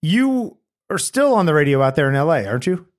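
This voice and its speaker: Chatty guy hosting a podcast, kinda high voice, laughs a lot, eager questions. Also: big pitch swings, fairly quick. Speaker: podcast host. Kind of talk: casual interview chat.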